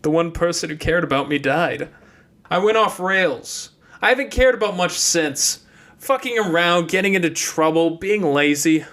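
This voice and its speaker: A man's voice: a little high, expressive, moderate pace, fairly bright tone, pitched above the performer's own voice. A man playing a bitter, defiant young apprentice. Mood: defeated, bitter. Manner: blunt, sweary, defensive.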